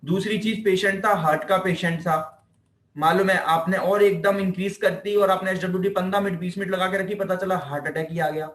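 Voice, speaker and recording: A man's voice: high voice, fairly even intonation, brisk, a bit bright. A man with an Indian accent giving an informal, emphatic physiotherapy lecture, repeating key phrases, asking rhetorical questions and mixing in English technical terms. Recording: echoey room, distant microphone.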